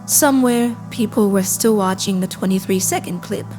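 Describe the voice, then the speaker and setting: A woman playing a calm, impassive AI assistant, narrating a scripted audio drama in a measured, detached, deliberate way. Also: medium pitch, lightly acted. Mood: somber, measured. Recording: cinematic music behind. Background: cinematic score.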